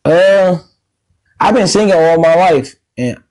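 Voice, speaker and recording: A man with a raspy voice, a musician guest talking casually and slangily in a podcast interview, laughing often. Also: high-pitched, lively intonation, slow, darkish tone, rough recording.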